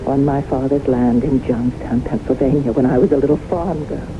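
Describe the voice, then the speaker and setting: A woman's voice: a bass voice, fairly even intonation, normal speed. An actress playing a haunted, fearful, romantic woman of forty-one in an earnest, dramatic radio play style. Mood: wistful, reflective. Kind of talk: 1970s radio drama acting. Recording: muffled, noisy old radio broadcast.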